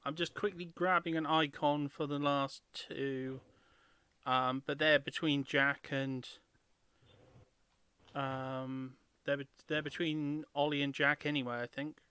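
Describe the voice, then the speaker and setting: A man's voice: a little high, little pitch movement, slow, sharp tone, smooth, no room sound. A man running a tabletop game as game master, speaking casually and somewhat hesitantly.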